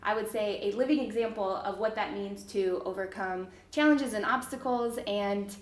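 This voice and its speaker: Upbeat woman podcast host, echoey room. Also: mid-range voice. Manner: upbeat, encouraging, drawn-out phrasing. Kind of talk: casual interview.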